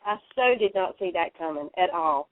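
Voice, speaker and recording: A woman's voice: fairly low pitch, lively intonation, moderate pace, dry recording. A woman co-hosting a radio show, chatting in a reflective, agreeable, conversational way. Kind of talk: casual conversational chat. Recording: dry, narrow phone-like line.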